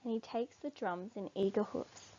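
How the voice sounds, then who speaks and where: A woman reading a children's storybook aloud expressively, with deliberate emphasis. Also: mid-range voice, warm tone, muffled recording. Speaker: storybook reader.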